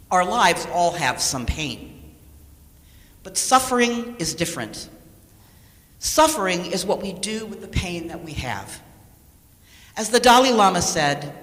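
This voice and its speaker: A woman reading a scripted sermon as a lay speaker, measured and deliberate, pausing for emphasis. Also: on the low side, a bit bright. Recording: echoey hall with long reverb.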